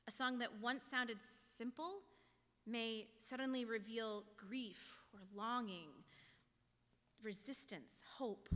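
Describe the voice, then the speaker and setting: A woman's voice: a little high, bright, forceful tone. A woman giving a reflective, prepared sermon as a lay speaker in church. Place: church sanctuary, speaking to congregation. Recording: echoey church hall.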